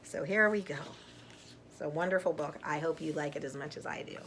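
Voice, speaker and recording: A middle-aged woman reading a picture book aloud to children, gently and deliberately, with chatty asides. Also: deep voice, slight background noise.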